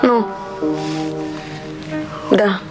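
An actress playing a reproachful, disillusioned woman who was seduced, halting and deliberate in a theatrical performance. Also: over music. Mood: reproachful, bitter. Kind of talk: theatrical acting.

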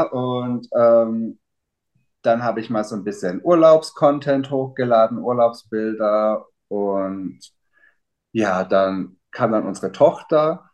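Guest, male, 30s, low voice, relaxed rambling answers full of fillers. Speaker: interview guest, father. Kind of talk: casual interview answers.

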